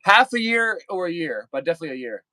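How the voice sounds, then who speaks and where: A man's voice: a little high, sing-song, fast talker, pushed and bright, dry recording. A young man chatting casually with viewers on a livestream, rambling and repeating himself with lots of fillers.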